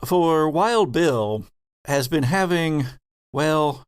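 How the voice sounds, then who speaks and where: Slow, deliberate man as game master. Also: on the high side, expressive, clear, resonant tone, no echo, clean studio sound. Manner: deliberate, suspenseful pauses. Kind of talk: dramatic, narrating a tabletop game.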